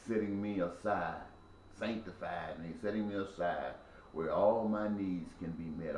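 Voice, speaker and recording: A man in his early sixties speaks in a low voice with a preachy, repetitive, emphatic cadence. The room is echoey, and a faint ambient hum sits under him. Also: darkish tone, dull audio. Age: 60s. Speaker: speaker addressing viewers. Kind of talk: impassioned spoken monologue.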